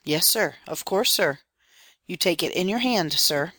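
A woman doing an obliging, low-pitched office porter. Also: lively intonation, unhurried, pushed and bright, exaggerated voice. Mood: sympathetic. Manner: servile, agreeable, colloquial. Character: deferential, sympathetic male office porter.

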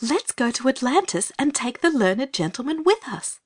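Man doing an earnest, animated young girl. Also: very high voice, moderate pace, pitched above the performer's own voice, full character voice. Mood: persuasive. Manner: earnest, polite. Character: kind, earnest older girl, gently persuasive.

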